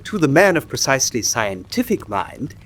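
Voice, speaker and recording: An actor playing an eccentric, bookish young country doctor in a radio drama, eager, formal and pedantic. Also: male voice, mid-range voice, sing-song, moderate pace. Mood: earnest, pedantic. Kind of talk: classic British radio drama acting.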